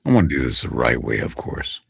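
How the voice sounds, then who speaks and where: Male voice actor streamer, very deep voice, husky, chatty with viewers. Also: very animated, fairly quick, a bit bright, no room sound, clean studio sound. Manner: chatty, talks straight to a viewer. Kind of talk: casual livestream chat.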